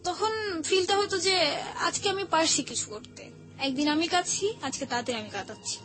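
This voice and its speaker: A woman's voice: extremely high pitch, normal speed, fairly bright tone. A young woman with a Bangladeshi accent, telling personal stories candidly in casual colloquial talk as a show guest. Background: background music.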